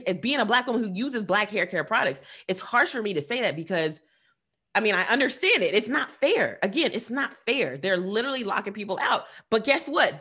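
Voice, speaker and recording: A woman's voice: mid-range voice, pushed and bright, breathy edge. A woman speaking with passion and emphasis as a podcast co-host in casual discussion, with laughing asides.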